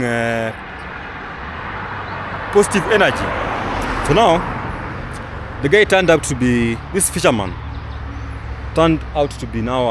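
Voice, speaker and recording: A young man, a travel vlogger, giving casual commentary outdoors, rambling with many fillers and restarts. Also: fairly low pitch. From Kenya. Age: young adult. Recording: outdoor recording with traffic noise.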